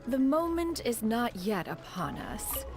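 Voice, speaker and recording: A woman's voice: fairly high pitch, big pitch swings, a little slow, music in the background. A woman voicing a proud, aloof, motherly immortal in a video game, with formal, archaic speech. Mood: composed. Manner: formal, haughty, archaic wording.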